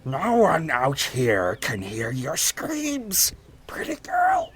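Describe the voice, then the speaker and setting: A man playing a gleefully menacing, short-tempered cannibal boogeyman in a horror audio drama, with a gravelly voice and a slow, taunting, theatrical manner. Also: on the high side, wildly swooping pitch, a bit bright, faint music behind, exaggerated voice. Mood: gleeful menace. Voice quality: gravelly, creaky.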